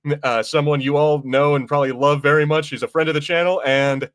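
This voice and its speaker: A man's voice: on the high side, fairly even intonation, fairly quick, fairly bright tone, faint music behind. A man hosting a podcast in casual chat, talking in an informal, rambling, self-correcting way. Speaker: podcast host.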